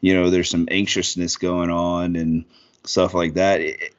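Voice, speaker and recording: Thirties man, low voice, loose profane podcast co-host, muffled lo-fi audio. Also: level tone, a little slow, background noise. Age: 30s. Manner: rambling, profane, lots of fillers. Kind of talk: casual podcast chat.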